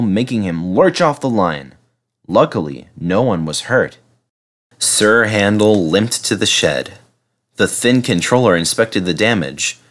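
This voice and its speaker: A man reading a children's story aloud as an expressive narrator. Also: on the low side. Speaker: storybook reader.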